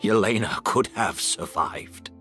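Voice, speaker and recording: An actor playing a courteous, weary, remorseful gentleman in video game drama, speaking in a measured, formal way. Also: lowish voice, faint music behind.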